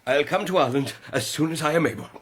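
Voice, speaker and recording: An actor playing a gruff, emotional old grandfather in a period radio drama, sputtering and repeating himself. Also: on the high side, quick pace, slight background noise, close to an everyday voice. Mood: blustering, excited. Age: older.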